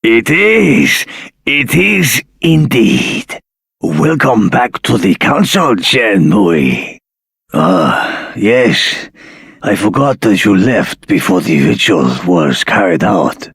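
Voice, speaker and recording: Grave, husky old council elder. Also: male voice, lowish voice, sing-song, a bit bright, dry recording, studio quality, heavily acted. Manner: measured, grave, formal. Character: solemn elderly male dragon-folk council elder. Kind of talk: scripted game voice acting.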